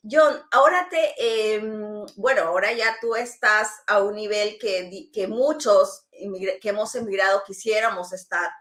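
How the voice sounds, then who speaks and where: A woman's voice: medium pitch, a little slow, few pauses, a bit bright. A woman hosting a business talk show, greeting the audience and introducing her guest in a friendly way, with hesitant restarts. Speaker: host.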